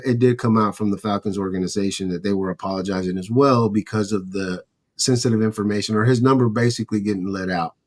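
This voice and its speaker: A man co-hosting a casual sports talk podcast, recounting and speculating in a loose, conversational way. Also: on the low side. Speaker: podcast host.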